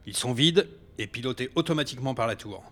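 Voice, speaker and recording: An actor playing a serious, matter-of-fact rebel leader in a science fiction audio drama. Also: male voice, medium pitch, animated, fast, natural voice. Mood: serious, businesslike. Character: reproachful male rebel leader briefing fighters.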